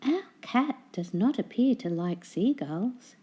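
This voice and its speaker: A woman's voice: fairly high pitch, expressive, slow, warm tone, slightly rough, voice pushed up, exaggerated voice. A woman voicing a kindly, resourceful lighthouse keeper's wife in a children's storybook, coaxing and decisive. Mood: determined.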